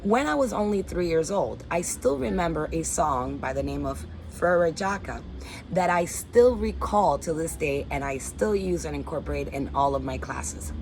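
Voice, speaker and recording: A woman's voice: deep voice, lively intonation, normal speed, a little mellow, slight background noise, low-quality recording. A woman in her forties from the Dominican Republic, speaking in an earnest, upbeat way about her work as a teaching artist, recorded on a phone in a backyard. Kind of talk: personal statement to camera. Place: backyard under a canopy, outdoors.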